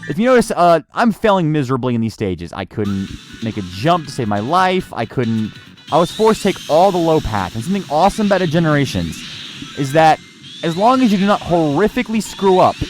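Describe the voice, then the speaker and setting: A man from the United States reviewing a video game in casual, rambling, halting, sweary unscripted commentary. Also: a little high, big pitch swings. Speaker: gaming reviewer. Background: game music.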